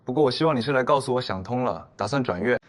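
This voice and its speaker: A man playing a composed, smooth-mannered psychology professor in an animated drama. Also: medium pitch, fast, natural voice. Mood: cool, teasing. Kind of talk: audio drama acting for an animated story.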